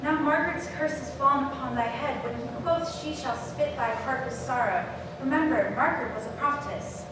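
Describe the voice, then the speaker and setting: A woman's voice: high-pitched, fairly quick, natural voice. A woman playing a vengeful ghostly noblewoman in a staged Shakespeare reading, declaiming her lines. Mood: ominous, reproachful. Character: vengeful ghostly noblewoman recalling a prophetic curse. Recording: echoey room, distant, noisy.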